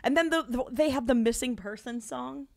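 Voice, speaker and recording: Young woman, podcast co-host, joking banter, slightly high, dry close mic. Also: vocal fry, dry recording. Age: young adult. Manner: joking, quick banter, laughs often. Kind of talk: casual chat. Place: living room couch. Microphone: studio mic on boom arm.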